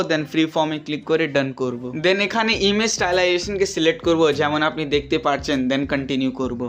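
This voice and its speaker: A man, peppered words, narrating a software tutorial step by step as an instructor. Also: a little high. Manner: instructional, repetitive step-by-step phrasing.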